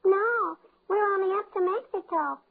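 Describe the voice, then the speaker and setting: A woman's voice: extremely high pitch, normal speed. An actress playing an eager, naive little schoolgirl in a gushing, childlike way, in 1950s radio comedy style. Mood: eager wonder. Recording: muffled old radio recording.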